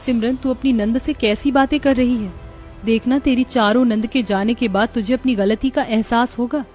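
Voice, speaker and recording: A woman voicing a kindly young married friend in a cartoon story, gently admonishing as she talks. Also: on the high side, fairly even intonation, normal speed, close to an everyday voice. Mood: reproving. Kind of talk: Hindi cartoon story dialogue. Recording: music behind the voice.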